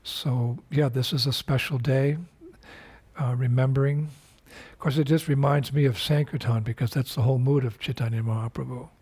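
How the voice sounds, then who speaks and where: Older man, husky voice, mid pitch, spiritual teacher, video message, unhurried and reflective, many fillers. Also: steady tone, a little mellow.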